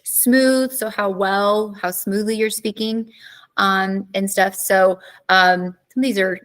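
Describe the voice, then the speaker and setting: Brief: a female teacher with a bright, crisp voice in a chatty, informal class recap. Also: mid-range voice. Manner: chatty, with fillers and quick asides.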